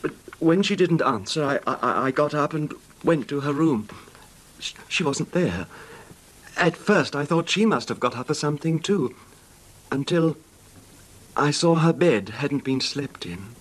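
An actor playing an ailing, betrayed husband who recounts his grievance in halting, formal radio drama style. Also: on the high side, animated. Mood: pained, brooding. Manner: halting, formal, genteel delivery. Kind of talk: old-time radio drama acting, narrated recollection. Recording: noisy old radio drama recording.

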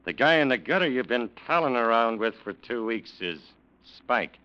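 An actor playing a hard-boiled, dryly casual investigator in a 1940s radio crime drama, on an old recording. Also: male voice, mid-range voice, expressive, normal speed, bright, forceful tone, lo-fi sound, slight background noise. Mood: wry, cool. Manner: wry, laconic.

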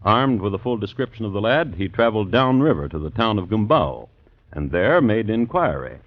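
Deep, animated storyteller. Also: male voice, moderate pace. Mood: wry. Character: wry, measured storyteller narrator. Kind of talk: scripted old-time radio narration. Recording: dull vintage radio recording.

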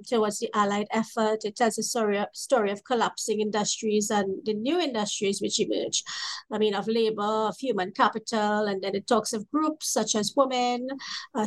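Trinidadian woman scholar, mid-pitched, earnest interview guest. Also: low-quality recording. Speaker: historian and co-editor guest. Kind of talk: academic interview.

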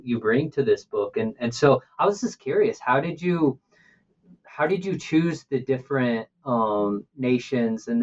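A man interviewing an author, asking questions haltingly with repeated words. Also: mid-range voice, highs cut off.

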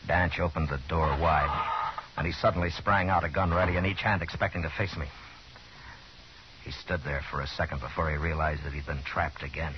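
A man's voice: a bass voice, steady tone, a little fast. An actor playing a stern, weary Old West lawman in a 1950s radio western, terse, commanding and dry. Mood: grim narration. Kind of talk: 1950s radio western acting and first-person narration. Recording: muffled old 1950s radio recording, noisy, music.

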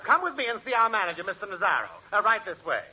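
An actor playing a theatrical, scornful hotel desk clerk in a 1940s radio comedy. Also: male voice, sits high, big pitch swings, racing, noisy background. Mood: haughty. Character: mocking, cackling male hotel desk clerk. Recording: muffled old radio recording.

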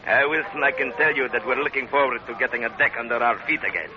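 An actor playing a smug, flattering pirate salvage boss in an old-time radio adventure, speaking formally and wordily with an ingratiating polish. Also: male voice, medium pitch, fast, close to an everyday voice. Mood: suave, hospitable. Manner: suave, ingratiating, wordy and formal. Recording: dull, noisy vintage radio recording.

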